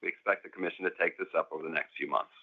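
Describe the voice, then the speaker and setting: A man reading prepared remarks in a formal, measured way, as an executive presenting on an earnings call. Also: deep voice, fairly even intonation. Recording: muffled narrow phone-line conference call audio.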